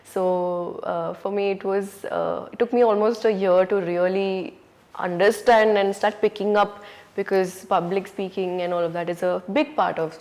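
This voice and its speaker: A woman's voice: mid-range voice, steady tone, moderate pace, a little mellow. Reflective Indian English woman, echoey hall. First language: Urdu. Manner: reflective, frequent "you know" and "um". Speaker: actress, interview guest. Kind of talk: candid conversational interview answers. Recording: hall reverb.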